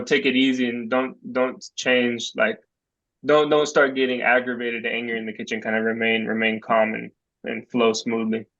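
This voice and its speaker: A man's voice: mid-range voice, a bit bright. Young male host, agreeable, filler-heavy, muffled lo-fi recording. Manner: agreeable, backchannels constantly, loose filler-heavy phrasing. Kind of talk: casual panel talk. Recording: slightly dull lo-fi sound.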